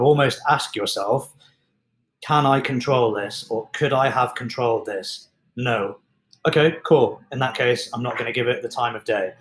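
A man, a fitness coach, talks through mindset in an earnest, reflective way. His voice sits at an ordinary middle pitch. The sound bounces around an echoey room. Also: a bit bright. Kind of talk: motivational talk on mindset.

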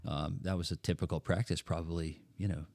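An older man with a low voice tells stories in an animated, joking way as a podcast guest. The sound is close and dry, with no room echo. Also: brisk, gravelly. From Netherlands. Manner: animated, jokey storyteller. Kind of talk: casual interview chat.

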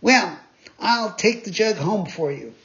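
A man voicing an impulsive wooden puppet boy who pleads and stammers in a high, whining voice. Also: moderate pace, natural voice. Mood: grumbling. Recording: dull lo-fi recording.

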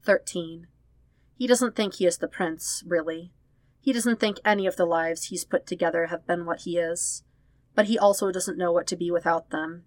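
A woman's voice: on the low side, fairly even intonation, normal speed, a little mellow. A woman reading fan fiction aloud as a scripted story narration. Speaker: podfic narrator.

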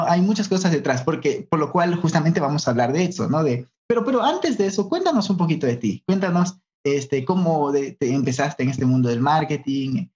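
A man with a Mexican accent hosting a casual interview in a colloquial, expressive way. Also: fairly high pitch. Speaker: podcast host. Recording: dull, lo-fi online call audio.

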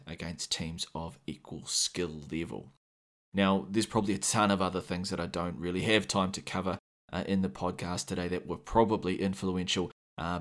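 A man with a New Zealand accent hosting a solo gaming podcast, explaining things at a measured pace with many "uh" fillers and restarts. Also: sits low, fairly bright tone. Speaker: podcast host.

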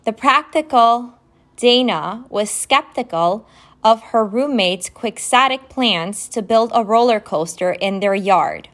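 A woman whose first language is Romanian, hosting a podcast and going slowly through vocabulary words, spelling each one letter by letter. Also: a little high, animated, fairly bright tone. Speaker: podcast host. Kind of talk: vocabulary lesson, casual spelling and definitions. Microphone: phone app recording.

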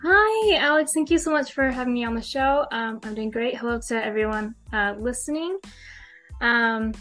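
A woman from Texas with an American accent, a guest talking in a relaxed, chatty way with "um" and "like.". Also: fairly high pitch, normal speed, faint music behind. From San Antonio, Texas. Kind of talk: casual interview chat.

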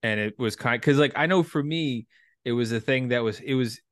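Male, thirties, mid-pitched, reflective and halting casual talker with salty language, dry recording. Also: no echo. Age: 30s. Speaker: writer and performer, military veteran. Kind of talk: casual creative discussion.

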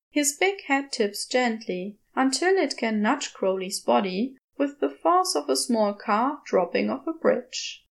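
Woman, audiobook narrator, scripted fiction, higher-pitched voice, slow delivery. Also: vocal fry.

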